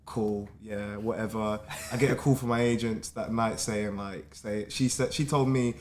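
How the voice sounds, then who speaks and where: A young man, a guest actor telling an animated, slangy story in a casual chat. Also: on the low side, normal speed, slight background noise. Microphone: studio mic on stand.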